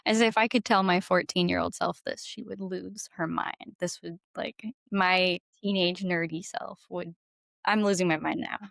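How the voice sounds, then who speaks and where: A woman's voice: lowish voice, fairly even intonation, moderate pace, gravelly, fairly bright tone, dry recording, studio quality. A woman chatting casually as an interview guest, informal and effusive, peppering her sentences with "like". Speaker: interview guest, singer-songwriter. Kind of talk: casual chat.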